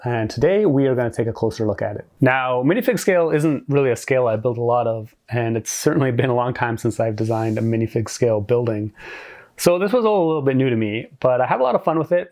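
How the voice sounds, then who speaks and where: A man in his forties hosting a hobby video, giving a scripted, clearly structured explanation in an upbeat way. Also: medium pitch, darkish tone. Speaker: host of a brick-building channel.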